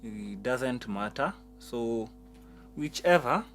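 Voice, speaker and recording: A man's voice: mid-range voice, lively intonation, takes it slowly. A man teaching keyboard settings step by step, often repeating words as he talks. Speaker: piano instructor. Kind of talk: keyboard tutorial. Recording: keyboard music behind voice.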